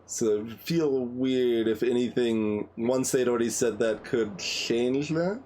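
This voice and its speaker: A man's voice: medium pitch, slow pace, darkish tone, a little hiss or hum. A man giving casual commentary on a game playthrough, musing and thinking aloud as he goes.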